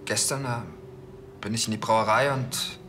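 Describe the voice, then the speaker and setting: An actor as a troubled, jealous husband. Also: male voice, fairly low pitch, fairly even intonation, close to an everyday voice. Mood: halting, remorseful. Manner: halting, earnest.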